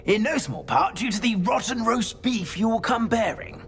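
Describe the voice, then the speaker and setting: A man's voice: extremely high pitch, normal speed, soft background music. A man playing a genteel, fussy nobleman ghost in a video game, with a British accent and a flustered, courteous, formal manner. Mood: hedging, reassuring. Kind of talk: video game character acting.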